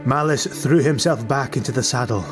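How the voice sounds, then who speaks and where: A man's voice: medium pitch, normal speed, over music. A man narrating a fantasy audiobook in a dramatic, scripted storytelling style.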